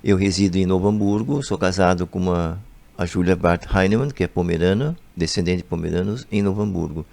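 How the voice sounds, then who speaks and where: A Brazilian man with a very low, gravelly voice, speaking as a guest author on a radio program. He explains patiently and keeps repeating that things are important. He sounds like he is talking in a studio. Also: a little fast, darkish tone. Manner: explanatory, repeats emphatic phrases. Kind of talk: radio interview answers.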